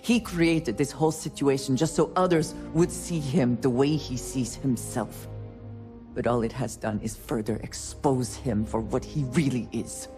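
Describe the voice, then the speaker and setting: A man playing a grave, reflective companion in a video game, speaking in a measured, deliberate way. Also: mid-range voice, music in the background, close to an everyday voice. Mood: grave, disapproving. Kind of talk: video game character dialogue.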